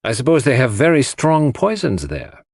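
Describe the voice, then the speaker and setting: A man acts out a small, secretive detective in an audiobook mystery. He is polite and inquisitive, with a nonchalant manner and very lively, often raised pitch. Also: normal speed, gravelly, dry recording, studio quality, exaggerated voice. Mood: politely curious.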